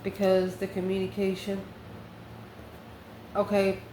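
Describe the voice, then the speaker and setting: A woman's voice: medium pitch, completely flat delivery, slow, mellow. A woman giving an improvised tarot reading to viewers, thinking aloud conversationally in short phrases. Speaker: tarot reader, channel host. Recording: roomy echo, faint background noise.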